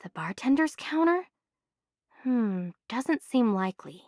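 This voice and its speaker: A woman's voice: on the high side, sing-song, measured pace, a little mellow, no echo, studio quality. An actress playing a young, determined female adventurer in an anime game, inquisitive and unimpressed. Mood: wry, curious. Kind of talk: English anime game voice acting.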